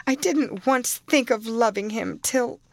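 A woman's voice: a little slow, dry recording, natural voice. A woman voicing a distraught, impulsive teenage girl who pleads breathlessly. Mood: sobbing. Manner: high, breathless, sobbing pleas.

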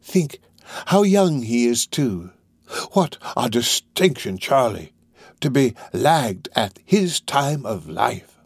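A man's voice: mid-range voice, animated, unhurried, vocal fry, no room sound. Man acting a wily old criminal master, swooping voice. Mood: soothing, coaxing. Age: older. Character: wily old male criminal gang master, wheedling, quick-tempered.

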